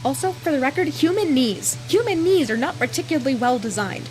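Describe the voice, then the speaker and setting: A woman's voice: sits high, expressive, a little fast, darkish tone, faint music behind. Woman thinking aloud over gameplay. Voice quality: thinking aloud, rambling with restarts. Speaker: let's play streamer. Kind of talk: casual gameplay commentary.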